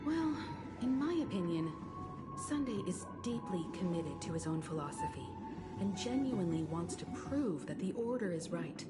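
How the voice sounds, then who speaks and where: A woman voicing a composed, thoughtful and resolute ally in a video game, calm and deliberate. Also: lowish voice, loud music behind the voice. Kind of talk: video game story voice acting.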